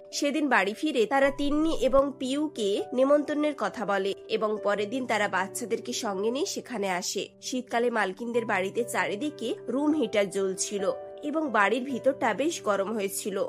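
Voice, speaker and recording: A woman tells a cartoon story as its narrator. Her voice is high, and the delivery is scripted storytelling over faint music. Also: normal speed.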